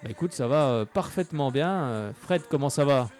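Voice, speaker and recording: A man's voice: mid-range voice, lively intonation, normal speed, a little mellow. Male local radio sports host, live studio, chatty with lots of fillers, faint music bed. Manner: chatty, many hesitant fillers.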